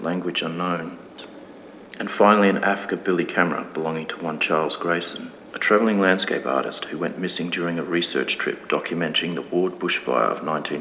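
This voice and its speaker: A man playing a solemn 1950s documentary narrator, reading a scripted horror mystery in a formal, measured, grave manner. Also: low-pitched, a bit bright. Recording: dull lo-fi, long reverb, clear background noise.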